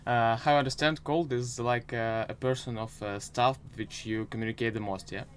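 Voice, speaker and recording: A young man with a Ukrainian accent asks questions as a casual interviewer. He speaks haltingly, feeling for his words, in a middle-pitched voice. A little noise sits on the recording. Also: steady tone. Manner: hesitant, halting English. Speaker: podcast host, interviewer.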